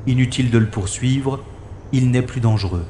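A man's voice: mid-range voice, normal speed, dark tone, reverberant, slight background noise, close to an everyday voice. An actor playing a wisecracking special agent who narrates his own science-fiction adventure in a wry, theatrical way. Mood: wry relief. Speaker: lead actor and narrator of an audio drama. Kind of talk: dramatised first-person narration, sci-fi radio play.

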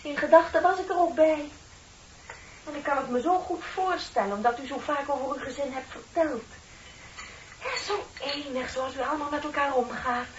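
An actress playing a chatty, flattering office secretary in her thirties in a radio play, with a polite, effusive manner. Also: high voice, normal speed, close to an everyday voice. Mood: admiring. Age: 30s. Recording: dull, noisy old radio recording.

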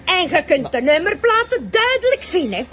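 An actress playing an indignant, nagging citizen with a Flemish accent, insistent and haughty, in a TV police drama. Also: female voice, very high voice, big pitch swings, moderate pace, a bit bright, dull audio, slight background noise, exaggerated voice. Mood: indignant, demanding. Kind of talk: TV drama dialogue.

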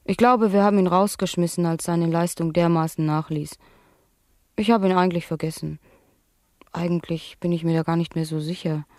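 A woman playing a blasé young talk-show guest in a satirical radio play, speaking in a deadpan, matter-of-fact way. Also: lowish voice, moderate pace, no room sound, clean studio sound, natural voice. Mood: blasé, indifferent. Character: blasé young woman, addicted clubgoer giving clinical testimony.

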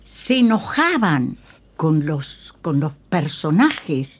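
An older woman with a Uruguayan Spanish accent, reminiscing in a radio interview as an emphatic, halting storyteller who repeats phrases and checks in with tag questions. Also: low-pitched, big pitch swings, slightly rough. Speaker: guest, veteran radio actress. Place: radio studio.

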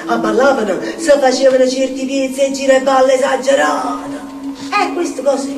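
High-pitched, theatrical Neapolitan storyteller woman. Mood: incantatory, marvelling. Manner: theatrical, incantatory, repeating phrases for emphasis. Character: volatile, superstitious Neapolitan storyteller of the poor backstreets. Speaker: stage actress. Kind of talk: theatrical monologue in Neapolitan dialect. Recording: roomy stage recording, distant, faint noise.

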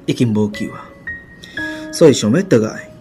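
A man's voice: fairly low pitch, expressive, normal speed, dark tone, soft background music, natural voice. Man playing an anxious, earnest Taiwanese son. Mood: troubled. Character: anxious adult son, respectful toward his elders. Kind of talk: radio drama dialogue.

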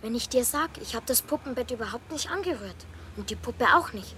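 A woman's voice: a little high, lively intonation, brisk, sharp tone, slight background noise. A woman playing a hesitant twelve-year-old boy in a radio play, with a Bavarian accent. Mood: unsure. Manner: unsure, hesitant.

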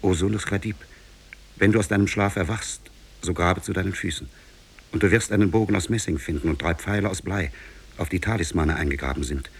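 An actor playing a grave shipwrecked prince, recounting his ordeal in solemn, measured, literary 1950s radio drama style. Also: low voice, breathy edge. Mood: solemn command. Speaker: storytelling character in a radio play. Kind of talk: 1950s German radio drama, literary fairy-tale narration.

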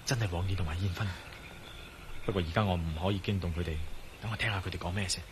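A man's voice: low voice, fairly even intonation, natural voice. Man acting a resolute adventurer, noisy background. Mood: hushed. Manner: deliberate, thinking aloud. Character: resolute, self-assured male adventurer, former soldier. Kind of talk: radio drama dialogue and spoken-thought monologue. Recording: radio drama with noisy ambience.

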